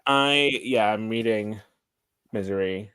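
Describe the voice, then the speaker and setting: A man's voice: on the low side, takes it slowly, sharp tone, clear, resonant tone, dry recording, clean studio sound. A man chatting casually about books as a livestream guest, meandering and hesitant, often saying "like". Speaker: guest co-streamer.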